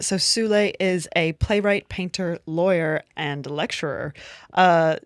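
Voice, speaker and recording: A woman's voice: on the low side, dry recording, clean studio sound. A woman co-hosting a podcast with casual, chatty delivery. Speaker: podcast co-host.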